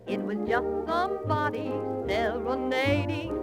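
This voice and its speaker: A woman's voice: high-pitched, animated, measured pace, over loud music. A woman vocalist singing a song in 1930s radio style. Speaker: female vocalist. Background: musical accompaniment.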